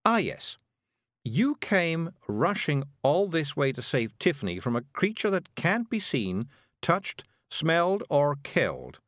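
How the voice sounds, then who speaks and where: A man voicing a prim, polite older witch who speaks slowly and carefully. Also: fairly high pitch, big pitch swings, a bit bright, no echo, studio quality, pitched above the performer's own voice, full character voice. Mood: puzzled. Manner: slow, careful, genteel.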